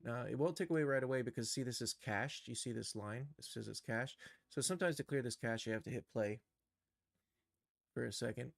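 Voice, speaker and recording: Gravelly-edged man giving a casual tutorial. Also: medium pitch, brisk, no echo, studio quality. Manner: tentative, musing, informal. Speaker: streamer teaching animation software. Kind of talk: casual live tutorial, thinking aloud.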